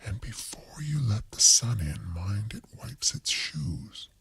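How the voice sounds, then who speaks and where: A man voicing a fussy, domineering widowed landlady in a breathy whisper, as in a radio play reading. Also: lowish voice, big pitch swings, slow pace, fairly bright tone, no echo, full character voice. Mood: prim, bossy.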